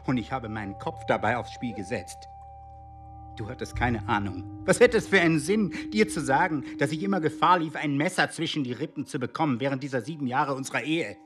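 A blustering, self-pitying secret agent husband. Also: male voice, on the high side, animated, moderate pace, bright, forceful tone, faint music behind. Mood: indignant, agitated. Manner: blustering, pleading, swinging between coaxing and outrage. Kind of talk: German radio drama acting.

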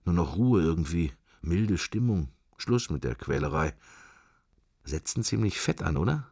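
A man's voice: low voice, lively intonation, measured pace, darkish tone, slightly rough, no echo, clean studio sound, exaggerated voice. An actor playing a wry, sceptical middle-aged man murmuring his fragmentary private thoughts in a radio drama. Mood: reminiscing, wry. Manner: murmured, fragmentary, associative musing. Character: musing middle-aged man, wry, sceptical, worldly, silently observing church. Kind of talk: radio drama interior monologue.

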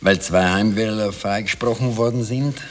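A man's voice: fairly low pitch, moderate pace, natural voice. An actor playing a wry Viennese man who tells of his youth in ironic, colloquial radio drama narration. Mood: wry reminiscing.

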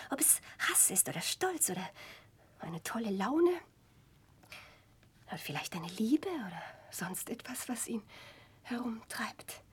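An actress playing an intense, bitter, sharp-tongued gentlewoman in a 1960s German radio play, probing with feigned-naive questions in a breathy, urgent voice. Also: mid-range voice.

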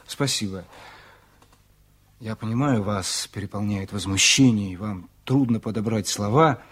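An actor in a Soviet radio play as a probing local journalist, formally polite, insistent and insinuating. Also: male voice, on the low side, measured pace, fairly bright tone, breathy edge. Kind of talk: Soviet radio drama acting.

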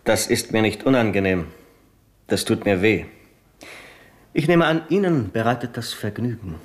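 An actor playing a touchy, pompous middle-aged business manager who blusters indignantly in a 1970s radio drama. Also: male voice, fairly low pitch, lively intonation, normal speed, lightly acted.

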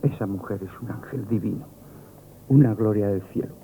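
An actor in a Spanish radio comedy playing a nervous, lovestruck young grocery lad, gushing and stammering with an accent from Spain. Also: male voice, fairly low pitch, normal speed, dark tone, slight background noise, lightly acted, low-quality recording. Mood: smitten, flustered. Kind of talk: 1970s radio comic theatre acting. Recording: band-limited vintage radio broadcast.

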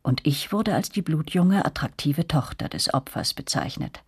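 A woman's voice: low-pitched, animated, moderate pace, breathy edge, full character voice. A woman playing a first-person narrator recalling her girlhood in a scripted, reflective radio drama narration. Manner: reflective storytelling.

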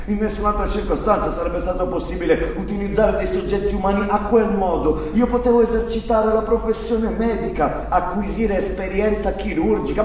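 A man's voice: sits high, darkish tone, voice pushed up. An actor playing a defensive, self-justifying father, a former wartime camp doctor, in an insistent theatrical monologue. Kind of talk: theatrical monologue, quoted character. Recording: echoey hall, distant mic, faint noise.

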